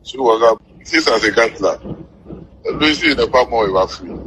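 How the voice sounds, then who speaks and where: A man with a West African French accent talking informally on a phone call, halting and often repeating himself. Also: mid-range voice, lively intonation, noisy background. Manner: halting, repetitive phrasing. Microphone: telephone.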